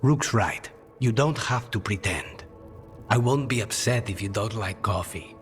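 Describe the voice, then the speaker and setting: An actor playing a dry, measured contract killer with a soft spot for cooking in a video game. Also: male voice, lowish voice, faint music behind, close to an everyday voice. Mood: calm, frank. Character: dry, professional contract killer who loves cooking and coffee. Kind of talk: video game cutscene acting.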